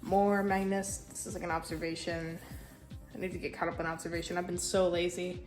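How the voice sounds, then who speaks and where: A young woman with a congested, stuffy voice, casually touring her sketchbook in a tired, low-key way and swearing now and then. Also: level tone, fairly quick, a little mellow, soft background music, poor audio. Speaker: artist showing a sketchbook.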